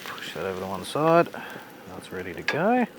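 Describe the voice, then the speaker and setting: A man with an Australian accent vlogging casually at an outdoor bush campsite while he cooks, rambling and relaxed, a little snuffly from a blocked nose. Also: sits low, moderate pace, background noise. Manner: relaxed, rambling, laid-back slang. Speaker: overlanding vlogger. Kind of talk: casual outdoor vlog narration while cooking.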